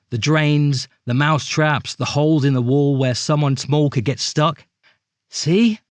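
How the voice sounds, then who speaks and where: A man's voice: on the high side, no echo, close to an everyday voice. A man playing a suspicious storybook character in an audio drama, insistently and dramatically laying out his theory with rhetorical questions. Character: suspicious, accident-prone storybook man airing conspiracy theories.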